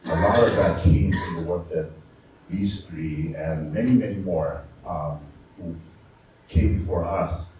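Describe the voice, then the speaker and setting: Deep-voiced man in his fifties, echoey hall. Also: dark tone. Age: 50s. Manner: halting, with frequent filler pauses. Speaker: panel moderator. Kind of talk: reading prepared panel remarks. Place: community arts center event room. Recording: echoey room, distant mic, faint noise.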